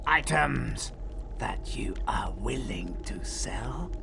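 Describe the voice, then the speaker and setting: A man voicing a creepy, fawning shopkeeper in a wizard video game, gravelly, breathy and oily. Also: medium pitch, animated, background noise. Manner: oily, drawn-out. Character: creepy, fawning male shopkeeper of dark wares. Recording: music behind.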